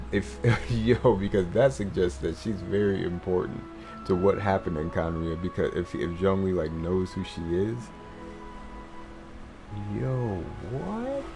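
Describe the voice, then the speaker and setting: A man giving casual live game commentary as a streamer, slangy and musing aloud. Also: fairly low pitch.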